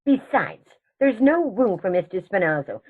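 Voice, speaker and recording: Through a thin phone-like line comes a prim elderly aunt, played by a woman in a radio comedy. Her husky voice is lively and proper, scolding in a matter-of-fact way. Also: medium pitch, moderate pace. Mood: prim, indignant. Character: prim, indignant elderly aunt, serenely murderous. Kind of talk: radio play acting.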